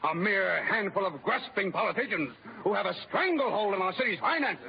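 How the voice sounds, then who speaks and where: An insistent, indignant committee spokesman in an old radio play. His high voice declaims formally. The recording is muffled and aged. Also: lively intonation, brisk, vocal fry, a little hiss or hum. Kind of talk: old-time radio drama acting.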